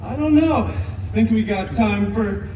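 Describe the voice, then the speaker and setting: Wisecracking male antihero, very high voice, teasing and theatrical, echoey live park show. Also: recorded from a distance, very noisy. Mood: playful teasing. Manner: mock-dramatic, chatty, teasing, drawn-out delivery. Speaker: costumed theme park character performer. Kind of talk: live comedic stage storytelling. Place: theme park live show, heard from audience.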